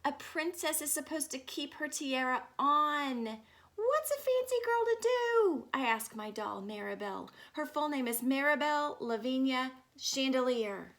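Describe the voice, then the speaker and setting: A woman plays an enthusiastic little girl telling her own storybook tale in a high voice. She is dramatic and in love with all things fancy, proudly explaining grand words. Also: big pitch swings, unhurried, fairly bright tone, full character voice. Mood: eager, proud.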